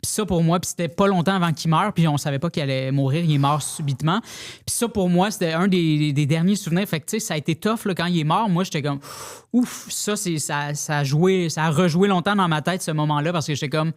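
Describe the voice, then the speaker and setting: A woman's voice: sits low, fast talker. A woman with a Québécois accent, a podcast guest talking frankly in casual conversation, restarting sentences often. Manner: frank and blunt, lots of restarts and filler phrases.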